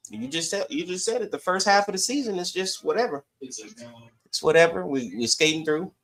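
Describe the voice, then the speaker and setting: A man's voice: a little high, animated, normal speed, a bit bright, rough recording. A man with an American accent chatting casually as co-host of a sports podcast.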